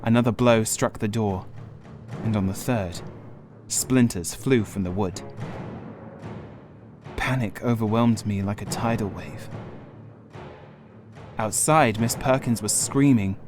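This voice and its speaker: A man reading a horror audiobook as scripted dramatic narration, grave, measured and suspenseful. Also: lowish voice. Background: music.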